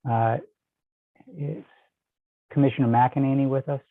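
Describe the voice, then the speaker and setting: A man's voice: mid-range voice, steady tone, dry recording. Man calling a roll over a tinny line. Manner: brisk, clipped name calling. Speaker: general counsel calling the roll. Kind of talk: roll-call vote. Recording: tinny, dry phone-like line.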